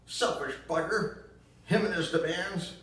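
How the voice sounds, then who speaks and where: A furious middle-aged woman, read aloud by a man, rants in a high, strained voice with a drawl. Her pitch climbs well above a man's usual register. The sound is roomy and heard from afar. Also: very animated, measured pace, heavily acted. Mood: bitter. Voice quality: loud and shrill, spitting scorn. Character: bitter grieving southern mother, middle-aged woman. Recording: echoey room, mic far off.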